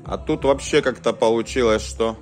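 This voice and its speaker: A man giving casual hands-on commentary as a video host, talking haltingly in short muttered phrases. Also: mid-range voice, faint music behind. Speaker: host of a cassette deck test vlog.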